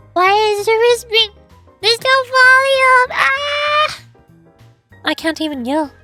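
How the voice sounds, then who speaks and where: A young woman streaming a game in casual, rambling chat, her voice hoarse and fading. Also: very high-pitched, animated, takes it slowly, sharp tone, soft background music. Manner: rambling, self-pitying banter with fillers. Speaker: VTuber streamer.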